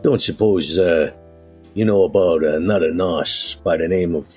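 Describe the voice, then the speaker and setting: A man voicing a gruff, laid-back male vampire in a tabletop game, gravelly and husky, with slangy, folksy, hesitant phrasing. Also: deep voice, moderate pace, darkish tone, soft background music. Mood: wary, casual.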